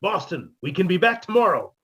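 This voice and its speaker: Man as a high, husky, plaintive maestro. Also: sing-song, brisk, a bit bright, full character voice. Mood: hopeful. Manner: plaintive, self-pitying. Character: melodramatic, lovelorn, down-at-heel male maestro, mournfully comic. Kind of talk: 1950s radio comedy acting.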